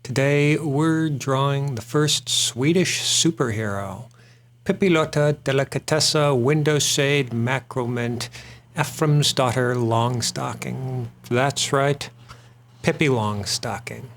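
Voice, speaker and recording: A man hosts a drawing show, talking while he sketches. His pitch sits in the middle, and he speaks slowly in a relaxed, rambling way, filling pauses with "uh". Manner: relaxed and rambling, frequent "uh" fillers. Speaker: artist and host.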